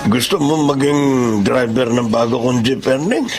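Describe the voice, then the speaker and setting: A man's voice: medium pitch, lively intonation, normal speed, over loud music, close to an everyday voice. A man playing a genial, frank jeepney owner in a Filipino radio drama. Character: genial male jeepney owner offering work.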